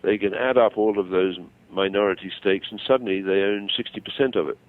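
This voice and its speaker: An older man explaining his views over the phone, self-assured but halting and digressive. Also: lowish voice. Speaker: phone-in guest. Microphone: telephone. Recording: tinny narrow-band phone call.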